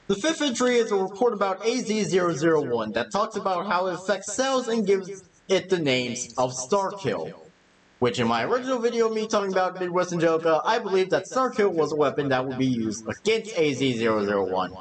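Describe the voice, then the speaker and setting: A man's voice: sits high, very animated, brisk, recorded from a distance, low-quality recording. A man reading scripted narration for a video essay.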